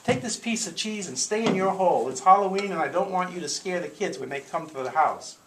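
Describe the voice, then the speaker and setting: A man's voice: high voice, lively intonation, fairly quick, far from the mic. A man voicing a jittery, kindly old lady in a story, exclaiming theatrically. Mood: scolding. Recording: echoey noisy room, consumer camcorder audio.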